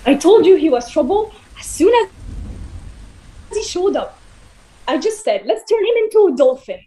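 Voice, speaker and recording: A woman's voice: very high voice, sing-song, moderate pace, dark tone, low-quality recording. A woman playing a grumpy, sarcastic male god who runs a camp, in a theatrical musical table read, blustering and dismissive. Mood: irritated.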